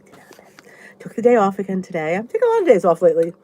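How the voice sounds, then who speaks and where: A woman's voice: medium pitch, wildly swooping pitch, very fast, warm tone. A congested woman vlogger with a stuffy nose, rambling chattily as she answers viewer comments in a casual live chat. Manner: chatty and rambling, thinks aloud.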